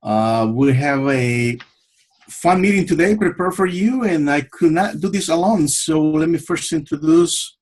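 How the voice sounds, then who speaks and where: A man from El Salvador hosting an online club meeting over a video call, friendly and unhurried with frequent fillers. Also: on the high side, lively intonation, darkish tone. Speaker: meeting host.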